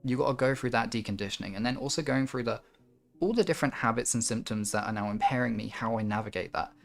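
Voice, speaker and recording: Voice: medium pitch, fast. A livestream host chatting casually and musing aloud in an earnest, rambling, reflective way. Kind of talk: casual chat and reflective monologue to a livestream audience. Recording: music behind the voice. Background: music.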